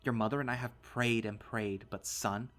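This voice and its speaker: A man's voice: mid-range voice, steady tone, normal speed, a bit bright, natural voice. A man voicing a stern, scornful, hot-tempered animal father who scolds and accuses, in an audio story. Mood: disgusted.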